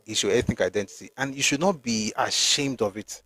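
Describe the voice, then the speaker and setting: A man's voice: medium pitch, a little fast, sharp tone, husky. A middle-aged man with a Nigerian accent, hosting an emphatic, argumentative opinion monologue with frequent restarts. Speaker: host.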